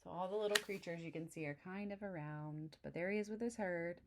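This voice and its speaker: A woman reading a children's picture book aloud, pausing to explain the story to young listeners in a friendly way. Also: fairly low pitch, background noise.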